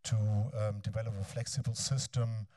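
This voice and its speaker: A man in his fifties with a German accent gives a lecture in a large bright hall on a handheld mic, hesitating often with ums and restarts. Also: fairly low pitch, flat delivery, clean studio sound. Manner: halting, frequent ums and restarts. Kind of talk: academic lecture, then reading a text aloud.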